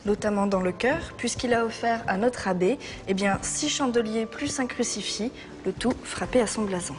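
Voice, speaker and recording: A young woman with a fairly high voice guides a visitor around. She explains in a conversational, playful way, like a student showing someone a historic site. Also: moderate pace, over music. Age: 20s. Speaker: art history student acting as abbey guide. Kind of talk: explanatory guided-tour chat. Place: inside a large stone abbey, later on its outdoor roof.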